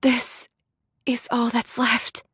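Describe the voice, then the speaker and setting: A woman voicing a gentle, devout young android in a game, with a polite, soft manner. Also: fairly high pitch, monotone, slow, breathy edge, dry recording, clean studio sound, full character voice. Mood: grave. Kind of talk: anime-style game voice acting.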